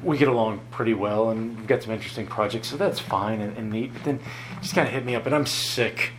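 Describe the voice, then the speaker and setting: A man preaching a sermon, earnest and often chuckling, his voice lower than usual after an illness and halting when he ad-libs. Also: steady tone, a little hiss or hum, poor audio. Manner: earnest, chuckles often, rises in pitch for emphasis; halting, repeats words when ad-libbing.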